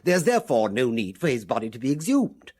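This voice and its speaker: Formal, fussy older English lawyer from a period radio play. The voice is husky and very animated in pitch, with precise upper-class diction and a disapproving, proper air. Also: male voice, fairly quick. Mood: urgent, insistent. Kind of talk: British period radio drama acting.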